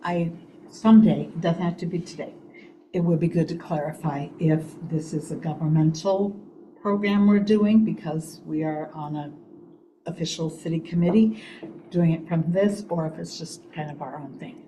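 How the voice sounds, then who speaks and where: Woman, low warm voice, loud, chatty, committee meeting update, faint background noise. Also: fairly even intonation, normal speed, reverberant, low-quality recording. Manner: loud, chatty, laughs often. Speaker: committee member organizing senior events. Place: city hall meeting room.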